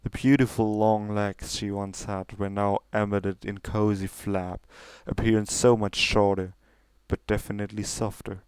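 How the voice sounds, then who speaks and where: A man's voice: lowish voice, little pitch movement, unhurried, a little mellow, clean tone, no room sound. A man reading fiction aloud as a scripted, dramatic narration. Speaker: story reader.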